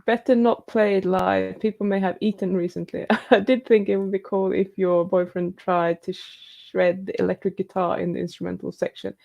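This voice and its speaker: A woman's voice: medium pitch, little pitch movement, normal speed, creaky, hardly any pauses, darkish tone, lo-fi sound. A woman hosting a live stream, reading out viewer questions and comments and answering them in a chatty, casual way. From New Zealand.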